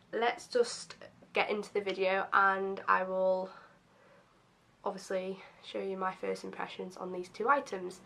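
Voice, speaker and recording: A young woman with a slightly croaky voice, casually chatting through a makeup first-impressions video with lots of ums and self-deprecating asides. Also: mid-range voice, a bit bright. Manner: chatty and self-deprecating, many fillers like um and obviously. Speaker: beauty vlogger.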